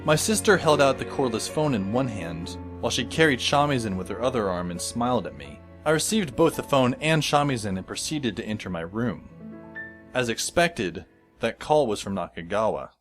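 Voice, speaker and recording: A man's voice: mid-range voice, expressive, normal speed, music in the background, natural voice. A man playing a wry, exasperated teenage schoolboy who narrates his story in a dry, sardonic way. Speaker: narrator and lead actor in a fan audio drama. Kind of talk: scripted first-person narration.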